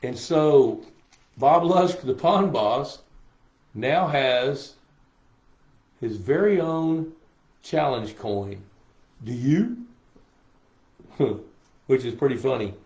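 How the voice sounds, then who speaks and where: An older man, a little hoarse, chatting casually with livestream viewers in a folksy way. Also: mid-range voice, animated, extremely slow, pauses often, a little mellow. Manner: chatty, folksy, reads viewer comments aloud. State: hoarse from a lingering cough. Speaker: host. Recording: roomy echo on a live stream.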